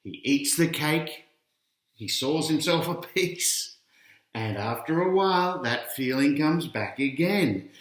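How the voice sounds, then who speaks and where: A man's voice: a little high, expressive, slow, a bit bright. A man reading a picture book aloud to children as its author, putting questions to his young listeners and teasing out each reveal. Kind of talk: children's storytelling read-aloud. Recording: roomy, echoing sound.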